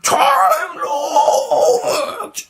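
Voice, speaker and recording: A man's voice: very high voice, sing-song, vocal fry, fairly bright tone, voice pushed up, exaggerated voice. A man voicing an agitated blob of liquid alien in an audiobook, strained and gurgly, drawing out the words. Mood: agitated, accusing. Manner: drawn-out, exclaiming. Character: blobby liquid alien creature, squelching, agitated. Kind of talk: audiobook character voice.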